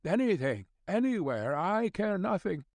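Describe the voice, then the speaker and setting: A man's voice: sits high, very animated, measured pace, no room sound, pitched above the performer's own voice, heavily acted. A man playing an ardent young newspaper reporter in his twenties, polite and earnest, in an audiobook. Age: 20s. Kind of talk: audiobook character dialogue.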